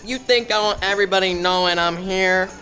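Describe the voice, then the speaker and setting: An actor playing a stressed, exasperated, melodramatic mob boss in a comedic audio drama. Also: male voice, high-pitched, fairly even intonation, a little fast, sharp tone, faint music behind, rough recording. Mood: irritated, defensive. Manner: exasperated, melodramatic mobster talk. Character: stressed, blustering male mob boss secretly running a pizzeria.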